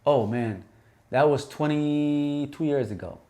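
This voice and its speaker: A Somali-born man around thirty, co-hosting a casual podcast chat, animated and full of restarts. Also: fairly high pitch, slow. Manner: animated, frequent restarts and "you know what I'm saying". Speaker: podcast co-host. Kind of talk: casual conversation.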